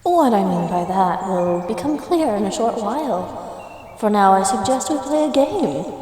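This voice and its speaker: A woman's voice: mid-range voice, expressive, measured pace, dark tone, natural voice. Woman acting a coy leprechaun in echoing reverb. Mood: reassuring, secretive. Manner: coy, playful, old-fashioned formal wording. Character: shy, flirtatious, mischievous female leprechaun of the woods. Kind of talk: scripted roleplay audio addressed to the listener. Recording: roomy long reverb, faint noise. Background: outdoor nature sound effects.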